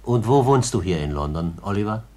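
Elderly gentleman: low, husky, paternal and courteous, measured delivery for vintage radio drama. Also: lively intonation. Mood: kindly. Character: kindly but cautious elderly gentleman, Mr Brownlow. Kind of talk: 1950s German radio play acting.

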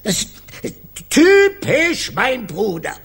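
An actor playing a gruff, theatrical robber with a soft heart in a children's radio play. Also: male voice, extremely high pitch, wildly swooping pitch, slow pace, full character voice. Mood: flustered. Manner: theatrical, stammers when flustered.

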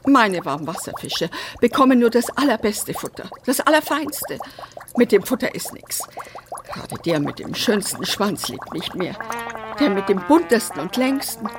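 A woman's voice: medium pitch, sing-song, moderate pace, music in the background, close to an everyday voice. An actress playing an eccentric, chatty mother in a radio play, rambling and exclamatory. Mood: exasperated, fussing. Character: eccentric, chatty mother fussing over pet fish. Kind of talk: radio drama acting.

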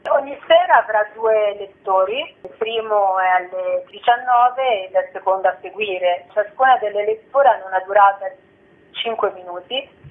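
A woman's voice: mid-range voice, moderate pace. A woman speaking by phone as an interview guest, explaining a theatre project in spontaneous speech. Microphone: telephone. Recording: tinny narrow-band phone line.

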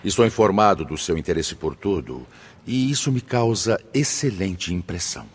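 A man acting a benevolent, authoritative spirit leader in a Brazilian radio drama, husky, formal and measured. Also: deep voice, animated. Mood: earnest, encouraging. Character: benevolent, authoritative male spirit leader addressing his brothers.

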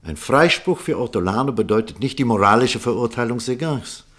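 A conscientious doctor in a radio drama. His medium-pitched voice is measured and earnest, weighing each point with thoughtful scepticism. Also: lively intonation, fairly bright tone. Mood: sceptical, earnest. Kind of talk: German radio play acting.

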